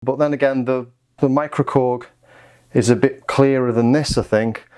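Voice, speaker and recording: A man's voice: mid-range voice, fairly even intonation, moderate pace, darkish tone, clean tone. A man giving a casual hands-on music gear demo, thinking aloud in an offhand way. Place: home music studio.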